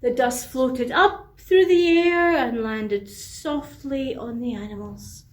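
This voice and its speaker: A woman narrating a children's bedtime story in an expressive, deliberate way, with asides to young listeners. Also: sits high. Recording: echoey, reverberant room.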